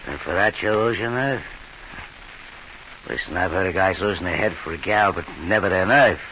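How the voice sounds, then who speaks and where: An actor playing a tough, menacing nightclub owner in a 1940s radio crime drama, needling in hard-boiled slang. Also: male voice, deep voice, moderate pace, bright, forceful tone, low-quality recording. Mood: scornful, accusing. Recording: hissy old radio broadcast.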